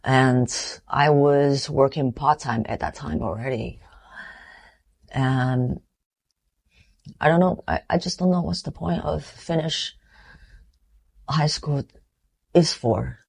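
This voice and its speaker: A woman in her forties from Taiwan with a Taiwanese accent, giving an earnest, reflective motivational talk with halting restarts. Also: extremely low pitch, vocal fry, darkish tone, breathy edge. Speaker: motivational vlogger.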